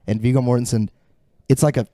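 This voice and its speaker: A man with a relaxed, mellow voice of middling pitch talks casually as a podcast panelist. He is blunt, opinionated and sweary, and the sound is dry and close. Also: quick pace, no echo. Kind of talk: casual chat.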